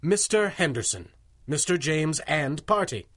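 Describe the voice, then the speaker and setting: A fussy male bank manager in an old-style radio drama. He is formal and eager to please, in a slightly high voice. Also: sing-song, measured pace, a bit bright, exaggerated voice. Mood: obliging.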